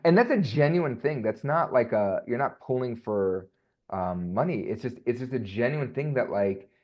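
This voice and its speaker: A man speaking as a voice coach guest, chatty and animated, with plenty of fillers and restarts. His voice sits in a middle range. The sound is dull and a bit lo-fi. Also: medium pitch, moderate pace. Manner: animated, rambling with many fillers. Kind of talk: casual podcast interview.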